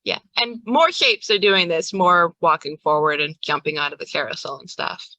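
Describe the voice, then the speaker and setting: A woman's voice: fairly low pitch, animated, moderate pace, sharp tone, no echo, highs cut off. A woman game master improvising scene descriptions in a conversational way for a tabletop role-playing game.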